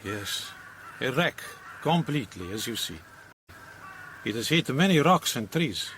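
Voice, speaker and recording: An actor playing a courteous, shrewd police captain with an Italian accent and formal, slightly imperfect English in a radio drama. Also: male voice, medium pitch, very animated, measured pace, fairly bright tone. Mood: grave. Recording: clear background noise, outdoor ambience.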